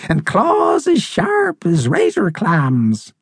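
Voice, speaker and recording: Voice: medium pitch, huge pitch swings, takes it slowly, vocal fry, breathy edge, no echo, clean studio sound, pitched above the performer's own voice, full character voice. A storybook narrator voicing a crusty old female seabird who speaks with salty, ominous warning. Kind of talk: storybook character reading.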